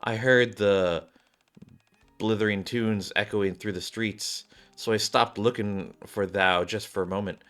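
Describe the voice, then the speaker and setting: A man's voice: fairly low pitch, fairly even intonation, fairly bright tone. Man reading as a poetic, archaic swordswoman. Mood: carefree, courtly. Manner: flowery old-fashioned diction, measured. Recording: background game music.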